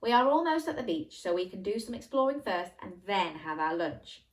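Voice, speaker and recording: Woman reading as a calm, patient storybook dad. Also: medium pitch, normal speed, recorded from a distance, close to an everyday voice.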